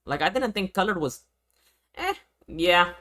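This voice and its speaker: A man's voice: sits high, animated, a little slow, sharp tone. A young man from Venezuela reacting on a livestream in casual, slangy, repetitive talk with plenty of swearing. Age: young adult. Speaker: streamer reacting to a video.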